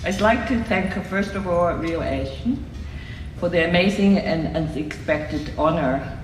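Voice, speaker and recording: An older woman from Hong Kong reading a prepared award acceptance speech in a measured, reflective way, recorded from afar in a reception hall. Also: fairly low pitch, lively intonation. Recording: echoey hall from far off, noisy room.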